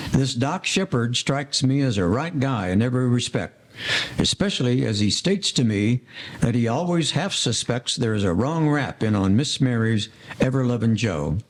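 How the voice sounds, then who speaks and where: A man playing an earnest, soft-hearted gangster in an audio play, using formal old-time slang. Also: medium pitch, animated, normal speed, natural voice.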